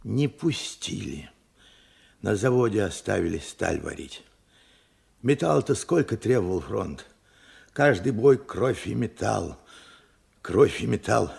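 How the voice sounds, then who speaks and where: Husky, gravelly old bereaved father. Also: fairly low pitch, big pitch swings, a little mellow, heavily acted. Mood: sorrowful, subdued. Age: older. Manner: halting, reflective storytelling in short phrases. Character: weary old widowed steelworker father recalling war losses. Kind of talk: Soviet radio drama acting.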